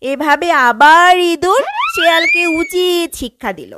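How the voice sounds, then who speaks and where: A woman's voice: extremely high pitch, expressive, unhurried, very noisy. A woman narrating a scripted children's fairy tale as a storyteller.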